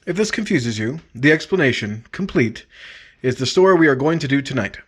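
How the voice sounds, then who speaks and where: A man's voice: mid-range voice, animated, fairly quick, slightly rough. A man playing the courteous star host of a 1950s radio drama series, presenting in a scripted style. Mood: courteous, composed.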